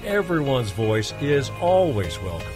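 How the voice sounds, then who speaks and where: A man reading a scripted talk show intro in a smooth announcer manner, with music behind him. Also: medium pitch, very animated, a little slow. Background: music bed.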